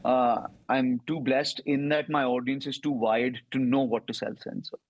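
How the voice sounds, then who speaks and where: A man with an Indian accent, a comedian answering interview questions in a reflective conversational way, often adding quick asides. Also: medium pitch, steady tone, no echo.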